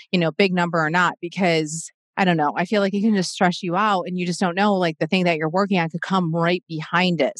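A woman's voice: fairly low pitch, no echo, studio quality. A woman in her forties chatting casually as a podcast co-host, reflective and full of fillers and restarts. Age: 40s. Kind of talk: casual chat.

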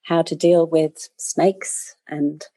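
A middle-aged woman with an Australian accent speaks slowly and reflectively in a low voice, often pausing with ums. Her voice sounds close and dry, with little room sound. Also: level tone, dark tone, no room sound. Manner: thoughtful, hesitant, with many ums and pauses. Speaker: podcast guest. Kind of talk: casual interview storytelling.

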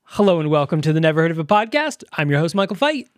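Podcast host, man, high voice, rambling casual delivery, dry close mic. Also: very animated, no echo. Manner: quick, rambling, self-deprecating with many likes.

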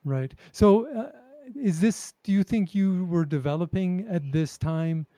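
A man's voice: sits high, unhurried, dark tone, no echo. A man interviewing someone conversationally, thoughtfully rephrasing his questions as he goes.

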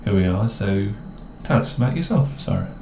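A young adult man from the English Midlands with a mixed, somewhat posh English accent, co-hosting a casual chat in a relaxed, rambling way with self-mocking jokes. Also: fairly low pitch, moderate pace. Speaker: co-host. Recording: echoey room with faint noise.

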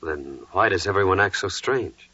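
An actor playing a hospitable, plain-spoken carpenter in a biblical 1940s radio drama, with a hearty, earnest manner. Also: male voice, low voice, lively intonation, moderate pace, sharp tone, breathy edge, no room sound, exaggerated voice. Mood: puzzled, anxious. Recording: muffled 1940s radio recording.

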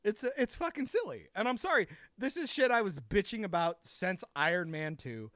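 A man's voice: high-pitched, animated, moderate pace, fairly bright tone, no echo, clean studio sound. A man ranting heatedly and profanely in a casual chat among friends.

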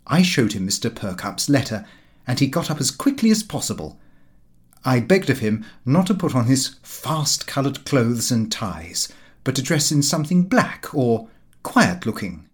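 Animated male audiobook narrator. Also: medium pitch. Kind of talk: scripted literary narration of a comic diary.

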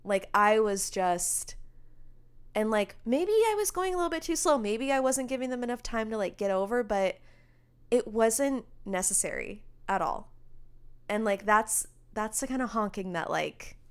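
A young woman co-hosting a casual talk show, chatty and quick as she tells her own stories, laughing easily. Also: on the high side, lively intonation, clean tone. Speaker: podcast co-host. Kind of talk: casual chat.

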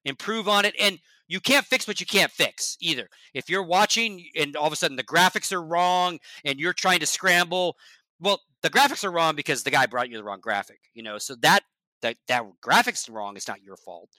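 A man talking shop in a casual podcast chat as a guest from esports broadcasting. He is blunt and emphatic, swears, and often sinks to a low, quiet voice. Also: normal speed, no echo, poor audio.

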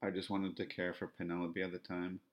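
A man from Ontario speaking in a podcast monologue, with a self-described monotone, flat delivery and measured, repetitive phrasing. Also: deep voice, muffled recording. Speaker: podcast host.

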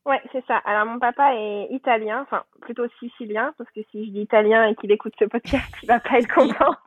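A woman's voice: on the high side, steady tone, fairly quick, dry recording. A woman from France telling her family story in a casual podcast chat, full of fillers and restarts. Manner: chatty, warm storytelling with frequent fillers and restarts. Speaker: guest. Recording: tinny phone-line audio.

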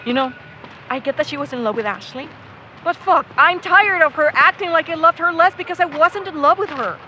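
A woman's voice: sits high, a little fast, sharp tone, muffled recording, slight background noise. An actress playing a devout, defensive young woman in an audio drama, earnest, frustrated and sweary. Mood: frustrated, grieving. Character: devout, defensive young woman, grieving her missing friend. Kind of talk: naturalistic audio drama acting.

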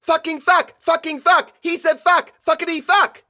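Man putting on a shrill, bloodthirsty little girl. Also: sing-song, normal speed, bright, forceful tone, heavily acted. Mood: gleeful chanting. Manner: babbling, giggly, repetitive and insistent. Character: bloodthirsty, squealing little girls, gleefully creepy.

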